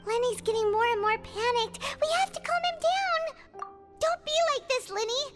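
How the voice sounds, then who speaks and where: Tiny squeaky excitable girl companion. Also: moderate pace, faint music behind. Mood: worried. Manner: squeaky, exclamatory, dramatic. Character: small, excitable, childlike female companion, anxious and earnest. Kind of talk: video game voice acting.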